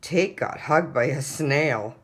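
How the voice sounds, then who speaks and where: A woman's voice: deep voice, slow pace, husky, exaggerated voice. A woman voicing an earnest young girl, a matter-of-fact big sister in a children's picture book. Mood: reporting.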